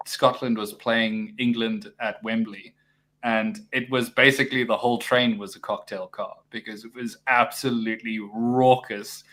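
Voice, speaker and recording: A man's voice: lowish voice, fairly bright tone. A man hosting a casual live-stream chat, laughing often and stumbling over repeated words. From South Africa. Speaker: podcast host.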